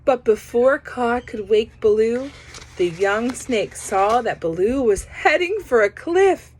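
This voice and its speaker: A woman reading a children's picture book aloud, pausing to comment on the pictures. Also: on the high side, expressive. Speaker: storybook reader.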